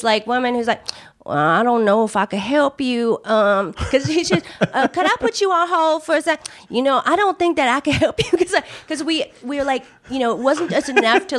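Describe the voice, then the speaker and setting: A woman in casual chat, telling an embarrassing story with lots of laughter and fillers. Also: a little high. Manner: laughs often, many fillers and restarts.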